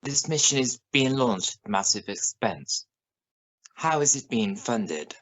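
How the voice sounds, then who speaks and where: A man playing a serious, probing evening news reporter in a science fiction radio play, speaking in a formal, measured way. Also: medium pitch, lively intonation, fairly bright tone, poor audio. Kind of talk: scripted radio news broadcast in a drama.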